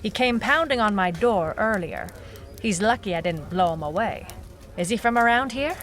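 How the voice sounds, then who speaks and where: A woman playing a wry, dogged private eye in a noir audio drama, speaking in clipped, deadpan phrases. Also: mid-range voice, moderate pace, natural voice. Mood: probing.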